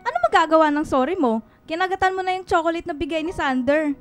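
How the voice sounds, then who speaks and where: A woman's voice: extremely high pitch, quick pace, soft background music. A woman playing an indignant, whiny older daughter, a schoolgirl in a radio drama. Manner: whiny, protesting.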